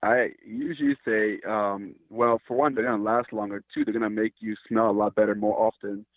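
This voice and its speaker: A man from Cameroon speaks in a low, fairly monotone voice, stopping and restarting as he searches for words. He comes through a thin, tinny phone line with a dry, close sound. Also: a little fast, no room sound. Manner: hesitant, restarts often, earnest. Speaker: company founder, guest. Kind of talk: casual interview talk.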